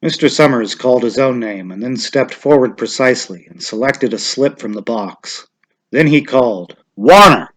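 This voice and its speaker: A man narrating a short story in a measured, expressive, suspenseful dramatic reading style. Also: mid-range voice.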